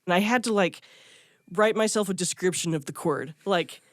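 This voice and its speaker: A woman's voice: lowish voice, normal speed, no echo, studio quality. A woman telling a personal story in a casual, candid, self-deprecating way, like an interviewee. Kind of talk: casual conversational anecdote.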